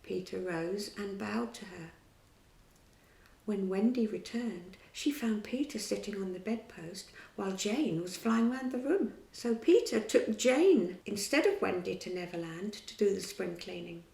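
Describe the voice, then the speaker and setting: A woman reading a children's story aloud in a clear, steady storybook manner. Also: medium pitch, measured pace, reverberant, poor audio.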